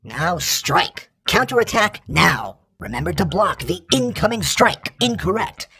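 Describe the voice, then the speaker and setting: An actor voicing an exacting combat training droid in a video game, formal and impassive, with a gravelly, husky robotic voice. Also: male voice, high-pitched, very animated, a little slow, sharp tone, heavily acted. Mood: formal, instructive. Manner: formal, impassive, precise, instructional. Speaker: combat instructor. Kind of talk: scripted video game tutorial lines.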